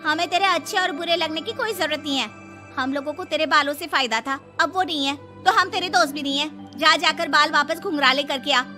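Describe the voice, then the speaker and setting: Woman acting a shrill, bright cartoon college girl. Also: brisk, faint music behind. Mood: scornful. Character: bratty, excitable young college girls in a moral cartoon. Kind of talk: Hindi animated story character acting.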